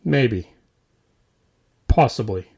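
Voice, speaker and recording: A man's voice: medium pitch, expressive, very slow, vocal fry, darkish tone, husky. A man hosting a comedy podcast, reading scripted mock news in a theatrical, tongue-in-cheek way with punchy short phrases.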